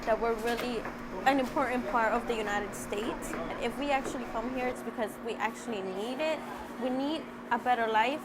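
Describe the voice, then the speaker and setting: A woman's voice: on the high side, moderate pace. A young adult woman giving casual, hesitant testimony as a news interviewee. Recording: clearly noisy location with ambient sound.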